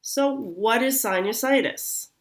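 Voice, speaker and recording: Slow-talking, slightly nasal woman. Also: fairly high pitch. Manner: slow, unhurried explaining with fillers. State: recovering from sinus congestion. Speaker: chiropractor. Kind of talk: health talk explaining anatomy with slides.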